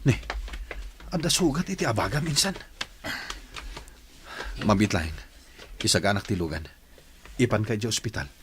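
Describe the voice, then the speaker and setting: A man's voice: lowish voice, very animated, moderate pace, long pauses, slight background noise. A man playing a concerned village man in an Ilocano radio drama, an elder brother sheltering his rebel cousin. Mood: surprised, wary.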